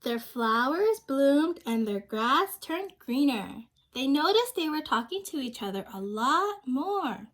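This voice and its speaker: A woman's voice: high-pitched, very animated, takes it slowly, few pauses, a bit bright. A young woman reading a storybook aloud to children, speaking simply and expressively and prompting her listeners with questions. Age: young adult.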